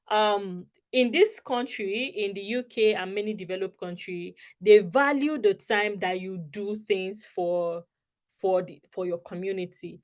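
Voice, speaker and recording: A young woman speaks over a video call, leading an online lesson with slides. Her voice sits at a middle pitch. She talks in a chatty way and checks in with her listeners often. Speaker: scholarship advice presenter.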